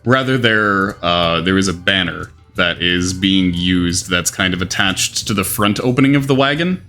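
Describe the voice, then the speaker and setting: A man narrating a tabletop game as game master, halting and restarting as he describes scenes, his voice going hoarse. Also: sits low, pushed and bright, soft background music.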